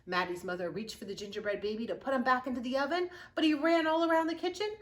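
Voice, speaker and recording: A woman reading a children's picture book aloud with expressive, dramatic storytelling and drawn-out key words. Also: high voice. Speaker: youth services librarian reading aloud.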